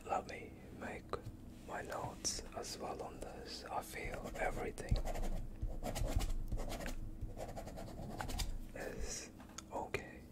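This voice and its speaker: A gentle, reassuring vampire doctor, acted out by a young man, speaks entirely in a soft whisper. The delivery is very slow and broken into short, soothing phrases, in the style of a close-up ASMR medical roleplay. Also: lightly acted. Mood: soothing, attentive. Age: young adult. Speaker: ASMR streamer. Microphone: close microphone.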